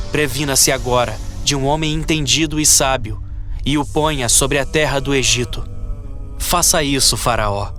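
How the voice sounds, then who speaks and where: A man playing a wise, earnest young Hebrew adviser, solemn and measured, in a dramatized audio Bible. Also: mid-range voice, a bit bright, close to an everyday voice.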